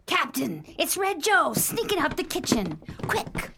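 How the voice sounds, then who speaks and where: A woman playing a taunting, cruel pirate in a comic radio play, with rough, ungrammatical seadog speech. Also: high-pitched, very animated, a little slow, heavy background noise, heavily acted. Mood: startled. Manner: rough dropped-grammar pirate dialect. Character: taunting, cruel, mock-regal female member of pirate gang.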